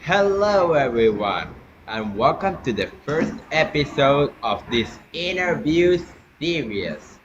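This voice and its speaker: A man's voice: on the high side, sing-song. A teenage boy from Colombia, a Spanish speaker, hosting a school podcast interview in careful English with some restarts. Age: teens. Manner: slow, careful non-native English with restarts. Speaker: student interviewer. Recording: muffled with noticeable background noise.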